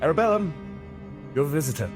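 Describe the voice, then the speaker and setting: An actor playing a cautious, terse police inspector on guard, clipped and secretive, in an audio drama. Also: male voice, on the high side, very animated, fairly quick, over music. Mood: wary.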